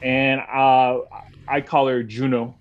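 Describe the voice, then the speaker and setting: A man's voice: mid-range voice, flat delivery, takes it slowly, phone-quality sound. A man hosting his podcast, welcoming a friend in a friendly, easygoing way with lots of hesitations. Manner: friendly, many fillers and restarts. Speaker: podcast host. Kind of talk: casual chat.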